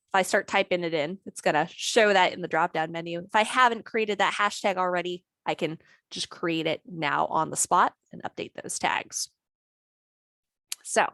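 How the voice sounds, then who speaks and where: Chatty woman giving a software walkthrough. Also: on the low side, no room sound, clean studio sound. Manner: conversational, lots of "maybe" and "you know". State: losing her voice a little. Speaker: software trainer. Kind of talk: screen-share software tutorial.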